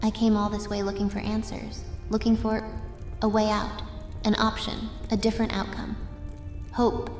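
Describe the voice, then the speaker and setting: A woman's voice: mid-range voice, completely flat delivery, moderate pace, a little mellow, close to an everyday voice. A woman playing a determined young witch in an audio drama, earnest and grave, in an echoing chamber with music. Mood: despairing.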